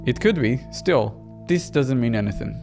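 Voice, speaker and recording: Guy reading a scared young woman in a horror visual novel, unsure, expressive, music behind. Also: medium pitch, normal speed, natural voice. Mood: uneasy.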